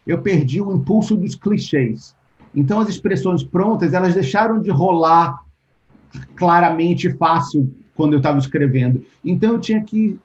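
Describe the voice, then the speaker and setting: Brazilian man, dark lo-fi call voice. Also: fairly high pitch. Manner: thoughtful, restarts often, acts out quoted exchanges. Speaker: interview guest, writer. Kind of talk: casual interview talk. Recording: dull lo-fi call audio.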